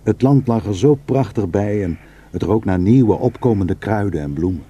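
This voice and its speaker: An actor playing a simple Kurdish shepherd, narrating his memories reflectively with a husky voice in a radio drama. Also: fairly low pitch, expressive, moderate pace. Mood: nostalgic, reflective. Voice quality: husky, dark.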